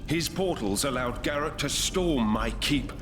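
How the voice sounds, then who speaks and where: An actor playing a noble, courtly medieval knight with formal, declamatory speech in a video game cutscene. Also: male voice, mid-range voice, animated, measured pace, background noise, natural voice.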